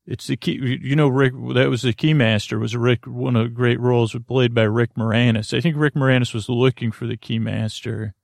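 A man hosting a sleep podcast in a creaky, gravelly voice, rambling casually and whimsically, often halting and interrupting himself as he drifts off on tangents. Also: mid-range voice, fairly even intonation, no room sound, studio quality. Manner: meandering, self-interrupting, halting with trailing tangents; whimsical, mildly absurd. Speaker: podcast host of bedtime stories. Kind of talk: rambling casual monologue meant to lull listeners to sleep.

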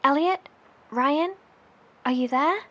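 A concerned, friendly bunker survivor in a horror audio drama. Her voice is fairly high, with faint background noise. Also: slow pace, fairly bright tone. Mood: concerned, relieved. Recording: faint noisy ambience.

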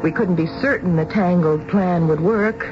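An actress playing an anxious, tender middle-aged mother who narrates a radio drama in a measured, suspenseful way. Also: fairly low pitch, muffled recording, natural voice. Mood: grave, suspenseful. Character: anxious, tender mother of a bride-to-be, telling the tale. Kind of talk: radio drama acting with first-person narration. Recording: band-limited radio recording with music behind.

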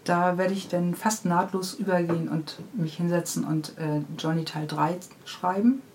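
A woman's voice: fairly low pitch, fairly even intonation, moderate pace, a little mellow, slight background noise. A woman who writes children's books, answering interview questions in an unscripted, conversational way. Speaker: children's book author being interviewed.

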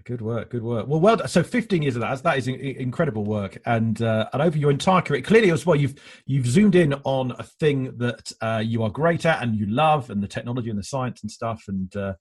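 A man's voice: medium pitch, expressive, a little fast, husky. A man hosting a podcast interview over a video call, laughing often and encouraging his guest. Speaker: podcast host. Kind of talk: casual interview chat.

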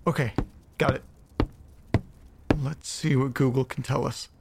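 An uneasy, startled man played by an actor in a naturalistic audio drama, muttering to himself. He speaks slowly and haltingly in a mid-pitched voice, swearing as he goes. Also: fairly even intonation, vocal fry, very noisy. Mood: distracted, uneasy.

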